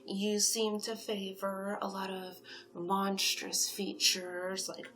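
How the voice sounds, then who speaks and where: A woman playing a flattering, gossipy sphinx matchmaker in a soft-spoken, whispery ASMR roleplay. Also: mid-range voice, slow pace, slight background noise. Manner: coaxing, flattering, chatty, whispery in places.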